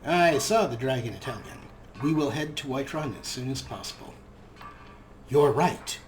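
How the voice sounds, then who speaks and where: A man's voice: medium pitch, animated, moderate pace, soft background music. A man giving casual let's play commentary as a gaming host, sometimes reading dialogue aloud.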